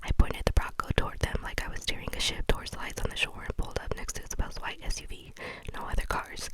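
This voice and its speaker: A reader narrating a first-person novel in a soft whisper. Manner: soft whisper, quick reading. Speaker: audiobook reader.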